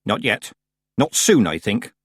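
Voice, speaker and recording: A man voicing a stern old woman witch who speaks calmly, coldly and tersely. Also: on the low side, measured pace, husky, no echo, clean studio sound, full character voice. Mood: icy calm. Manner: calm, clipped, coldly controlled.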